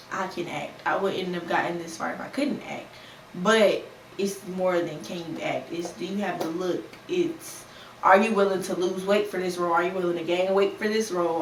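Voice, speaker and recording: A woman in her twenties hosting a casual solo podcast, talking candidly in a conversational way with slang and quick put-on quotes. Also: mid-range voice. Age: 20s. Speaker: podcast host. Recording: roomy, a bit distant from the mic, faint ambient noise.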